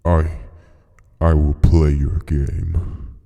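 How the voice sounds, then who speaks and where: A man playing a powerful captive creature in an audio drama, with heavy, strained, halting speech. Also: rumbling low, flat delivery, creaky, no room sound, highs cut off, exaggerated voice. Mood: grudging submission. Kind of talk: fan audio drama acting. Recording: loud music under the voice.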